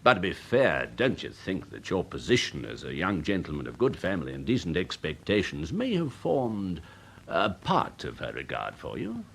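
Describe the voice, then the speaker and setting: An actor playing a worldly older English gentleman, a pompous and smoothly persuasive uncle in a period radio drama. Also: sits low, huge pitch swings, normal speed, slight background noise, exaggerated voice. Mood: probing, suavely reasoning. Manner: pompous, urbane, rhetorical, insistent.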